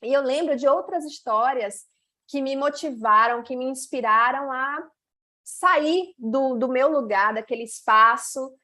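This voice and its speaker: A middle-aged Bahian woman leads an online masterclass as a mentor. Her voice sits a little high, and she often pauses and adds small checks to keep listeners with her. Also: sharp tone. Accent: Bahian Brazilian Portuguese. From Salvador, Bahia, Brazil. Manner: frequent "né" and "tá" tags, pauses and drawn-out stretches. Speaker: women's mentor.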